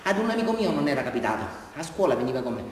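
An actor playing a deranged, naive murderer with a southern Italian accent, rambling and repeating himself childishly in a theatrical radio drama confession. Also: male voice, on the high side, big pitch swings, rapid-fire, a little hiss or hum. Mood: puzzled, rambling. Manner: rambling, repetitive, childlike, addressing his listener. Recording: very echoey hall, far from mic.

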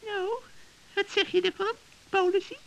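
Creaky, very high-pitched old witch. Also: little pitch movement, fairly bright tone, heavily acted, rough recording. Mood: encouraging, teacherly. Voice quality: creaky, shrill cackle. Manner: theatrical, drawn-out, instructive. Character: cackling old witch teaching magic, sly but encouraging. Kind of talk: children's radio play acting.

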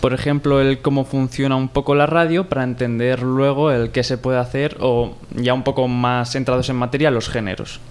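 Joven español de voz limpia y mesurada. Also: male voice, mid-range voice, level tone, moderate pace, hardly any pauses, clear, resonant tone. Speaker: guest, university student.

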